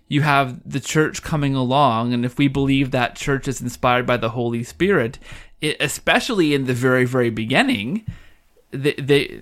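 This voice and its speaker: A man hosting a podcast, chatting casually with his guest in an interview. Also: mid-range voice. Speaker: podcast host.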